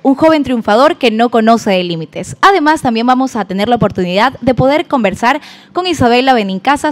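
A woman in her thirties hosting a regional TV program in a bright, welcoming announcer delivery from a restaurant set. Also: on the high side. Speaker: TV program host. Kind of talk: scripted TV presenting. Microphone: desk microphone on stand.

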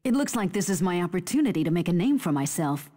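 A woman's voice: lowish voice, big pitch swings, quick pace, warm tone, in a large hall. An actress playing a fierce female fighter who taunts opponents in haughty, theatrical battle lines for a video game. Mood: proud, declamatory. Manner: haughty, theatrical, clipped declarations.

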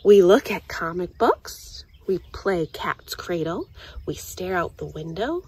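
A woman reading a children's picture book aloud in an expressive, deliberate storytelling manner. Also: lowish voice, slight background noise. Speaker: story time reader.

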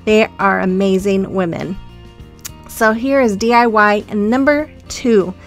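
A woman host casually narrating her craft project in a relaxed, chatty voice-over with frequent ums. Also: mid-range voice, slow, faint music behind.

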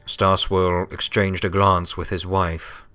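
A man's voice: low voice, flat delivery, slow pace, a bit bright, faint music behind. A man reading scripted story narration as the narrator.

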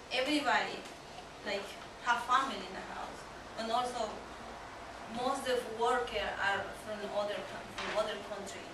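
Echoey, distant young Peruvian woman, halting English. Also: on the high side. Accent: Peruvian Spanish. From Peru. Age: young adult. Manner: halting, searching for words in non-native English. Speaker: exchange trainee giving a talk. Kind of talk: informal presentation to colleagues. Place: small meeting room with seated audience. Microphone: far camera microphone. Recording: distant, very echoey room, clear background noise.

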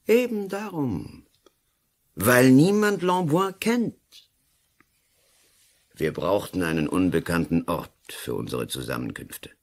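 An actor playing a pompous, cynical colonel and politician who lectures emphatically in a radio play. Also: male voice, lowish voice, fairly bright tone, exaggerated voice. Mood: impatient, domineering. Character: blustering, cynical male colonel and lawyer-politician. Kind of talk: German-language radio play acting.

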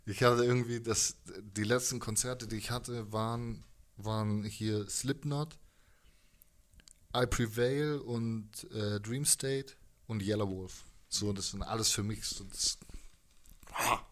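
A man's voice: fairly low pitch, fairly even intonation, slow pace. A man, around his thirties, chatting casually as an interviewed musician, rambling and jokey in slangy speech into a handheld microphone. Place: living room music space, indoors.

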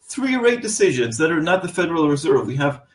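A man's voice: fairly high pitch, very animated, fairly quick. Man giving an off-the-cuff market preview. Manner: loose, unscripted, with many fillers and restarts. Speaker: market analyst. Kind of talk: weekly forex preview over slides.

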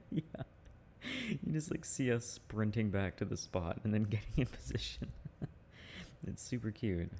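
A young man with a very low, creaky voice speaks in a casual, affectionate boyfriend-roleplay style. His tone is mellow and intimate, chatty and prone to chuckling. There is faint noise behind him. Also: expressive, measured pace, long pauses. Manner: soft affectionate chat with frequent chuckles. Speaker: boyfriend roleplay audio performer.

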